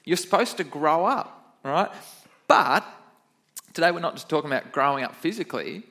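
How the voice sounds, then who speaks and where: Upbeat kids pastor, higher voice. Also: male voice, lively intonation, moderate pace, sharp tone. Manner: upbeat, chatty, quizzes the kids. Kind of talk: children's sermon to a church. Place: church.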